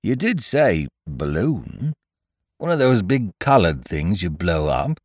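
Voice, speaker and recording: A man's voice: sits low, big pitch swings, slow, no echo, clean studio sound, full character voice. A man voicing a gloomy old donkey in a storybook, mournful, murmuring and resigned. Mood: glum, wistful.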